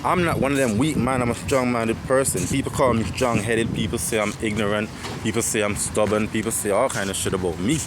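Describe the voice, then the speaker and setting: A man in his twenties with an Antiguan accent and a deep voice, rambling and reflective in a casual personal monologue, often repeating himself and swearing. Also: fairly even intonation, fairly quick. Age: 20s. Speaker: vlogger. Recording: loud outdoor street noise.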